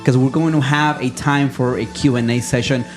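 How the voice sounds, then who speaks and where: A man co-hosting a church talk show, chatting in a loose, rambling way with plenty of "uh"s and "you know"s at an ordinary middle pitch. A little music plays softly behind him. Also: steady tone, normal speed. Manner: rambling, filler-heavy, chatty. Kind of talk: casual live-stream hosting.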